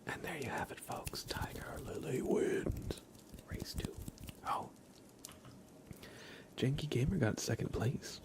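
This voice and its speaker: A man's voice: medium pitch, animated, takes it slowly, long pauses. A man hosting an ASMR livestream in a whispered, casual and hesitant manner, chatting and commentating. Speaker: live streamer, host.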